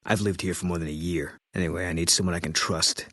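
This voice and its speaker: An actor voicing a grim, bitter former army sniper in a video game, guarded and grieving, with terse, flat, brooding delivery. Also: male voice, sits low, fast talker, dry recording, clean studio sound. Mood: guarded, weary.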